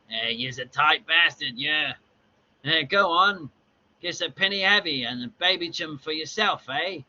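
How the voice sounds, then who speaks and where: A man's voice: a little high, expressive, a little slow, pushed and bright, lo-fi sound, pitched above the performer's own voice, full character voice. A man voicing a rowdy, crude, chummy male pub drinker with a Cockney accent, as if reading a comic aloud.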